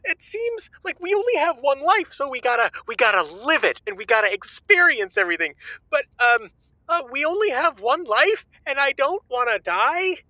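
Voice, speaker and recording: A man's voice: extremely high pitch, big pitch swings, moderate pace, sharp tone, dry recording, muffled recording, heavily acted. An actor playing an anxious, naive man calling a radio show, emotional, breathless and easily overwhelmed. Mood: overwhelmed, nervous. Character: gushing, anxious, naive sheltered male radio caller. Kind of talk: comedic audio drama acting.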